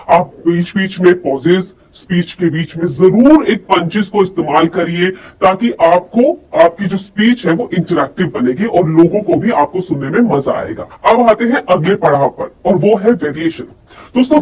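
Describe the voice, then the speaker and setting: A man with a fairly high voice delivers a coaching lesson in a conversational, explanatory way. He often turns to the viewer with rhetorical questions. The mic sounds some way off, with faint noise behind him. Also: darkish tone, recorded from a distance, low-quality recording. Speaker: personality development coach. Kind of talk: instructional lesson addressed to viewers.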